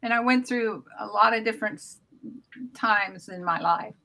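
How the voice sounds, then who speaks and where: A woman's voice: medium pitch, normal speed, creaky, bright, forceful tone. An American woman in her seventies from Oklahoma, casually reminiscing as a guest author on a video call. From Oklahoma, United States. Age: 70s. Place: small room at home, indoors. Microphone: computer mic on video call.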